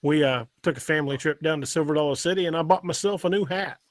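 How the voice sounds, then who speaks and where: A man's voice: on the high side, quick pace, no room sound, studio quality. A man hosting a casual livestream, informally chatting and reading out comments from listeners with some salty language. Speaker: podcast host reading live chat comments.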